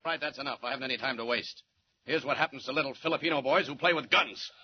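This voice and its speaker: An actor with an American accent playing a mild-mannered reporter who is secretly a confident hero, in a 1940s radio drama. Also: male voice, medium pitch, quick pace, a crowd in the background, close to an everyday voice. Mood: bold, commanding. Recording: muffled, noisy 1940s radio recording.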